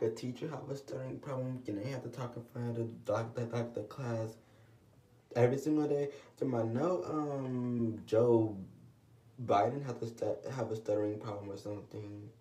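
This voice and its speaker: A man's voice: mid-range voice, fairly even intonation, normal speed, mellow, far from the mic. A teenage boy vlogging at home, talking casually to the camera in a rambling way, with a stutter that brings restarts and lots of fillers. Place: small room indoors, at home. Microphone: camera set low, below face. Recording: roomy echo, voice off-mic.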